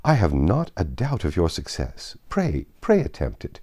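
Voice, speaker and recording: An effusive young gentleman suitor from an old novel, fawning and full of compliments, as a male reader puts him on. His voice swings widely in pitch, lifting high with eagerness. The tone stays mellow and soft-edged. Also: wildly swooping pitch, normal speed, slightly rough, full character voice. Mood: gushing. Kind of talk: audiobook reading of a classic novel.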